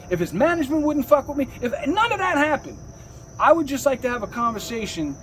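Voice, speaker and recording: A man with a husky voice telling his story casually, in emphatic, repetitive, slangy talk with frequent swearing. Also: very high-pitched, slight background noise, poor audio. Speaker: rapper, interview guest. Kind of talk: casual rambling personal storytelling.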